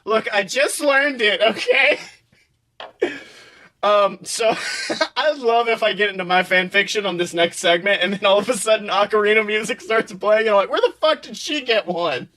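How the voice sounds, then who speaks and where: A man co-hosting a podcast with casual comedic banter in a playful, excitable, giggly manner. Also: high voice, normal speed. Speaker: podcast co-host and author.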